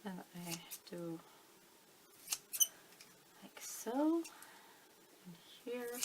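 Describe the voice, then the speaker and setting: A middle-aged woman from Denmark with a Danish accent, calmly explaining a fabric-flower craft at her table, thinking aloud with many fillers. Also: on the high side, very slow, very noisy. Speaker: crafting channel host. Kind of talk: casual craft tutorial. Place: indoors at a table, hands on camera.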